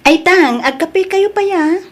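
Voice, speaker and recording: A woman's voice: very high voice, expressive, unhurried, noisy background. A woman with an Ilocano accent playing a respectful, generous young daughter-in-law in an audio drama. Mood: respectful, bashful.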